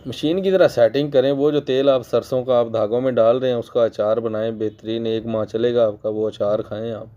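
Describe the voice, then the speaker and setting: A hoarse man with a Pakistani Urdu accent guides viewers step by step at his sewing machine, repeating key points patiently. His voice is mellow and dark, with frequent throat-clearing. The recording sounds dull and lo-fi. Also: medium pitch, fairly even intonation, fairly quick, few pauses. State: hoarse from a cold. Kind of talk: casual tutorial voice-over.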